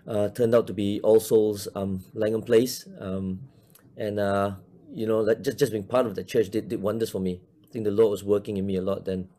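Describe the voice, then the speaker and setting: A man's voice: lowish voice, steady tone, normal speed, warm tone. A man in his forties from Malaysia, a church minister talking casually in an interview, hesitant, with many fillers and restarts.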